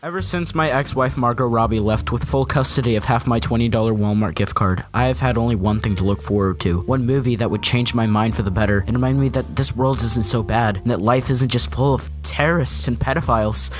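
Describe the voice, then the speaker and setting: A man's voice: fairly quick, few pauses. Sarcastic young man reviewing a movie. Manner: sarcastic, deadpan jokes with sudden pitch jumps. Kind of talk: scripted comedic review narration.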